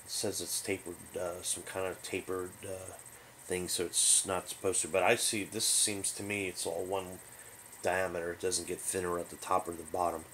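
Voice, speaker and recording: A man hosting a hobby show, giving a casual product overview in a halting, rambling, unscripted way. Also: low-pitched, flat delivery, a bit bright, a little hiss or hum, rough recording. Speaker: host of a hobby radio show. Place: small bedroom, indoors.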